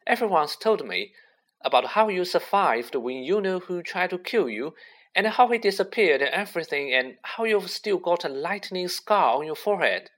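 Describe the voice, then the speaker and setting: A man acts out an eager little schoolboy in a storybook. He is high-pitched and lively, gushing in awe as if short of breath with excitement. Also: measured pace, hardly any pauses, exaggerated voice. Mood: eager, awestruck. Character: small, eager, awestruck schoolboy fan with a camera.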